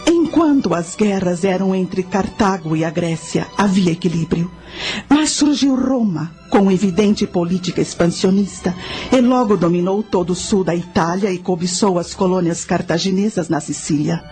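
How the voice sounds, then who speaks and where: A woman playing a solemn priestess who guides through history in a radio drama, in a measured, explanatory manner. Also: on the low side, animated, darkish tone, natural voice. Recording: faint music under the voice.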